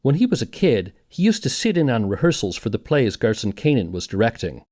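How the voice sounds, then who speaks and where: A man's voice: mid-range voice, very animated, fairly quick, darkish tone. A man narrating a scripted documentary podcast as its host and interviewer.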